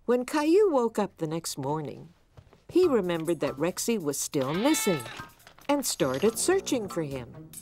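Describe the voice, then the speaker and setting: A woman narrating a preschool cartoon as a gentle storybook teller. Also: fairly low pitch, very animated, measured pace. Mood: gentle storytelling. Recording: music behind the voice.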